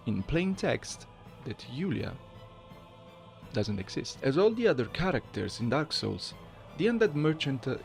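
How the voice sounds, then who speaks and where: A man gives casual commentary as host of a gaming video. His voice is animated and moves around a lot, with noticeable vocal fry, over quiet background music. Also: lowish voice. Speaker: gaming channel host, amateur voice impersonator.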